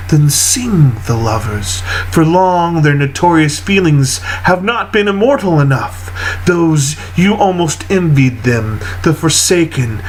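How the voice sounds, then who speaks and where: Man, solemn poetry recitation, unhurried slow pace, measured pauses, literary delivery, faint background noise. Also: on the high side, low-quality recording. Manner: deliberate, measured phrasing with frequent pauses. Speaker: poetry reader.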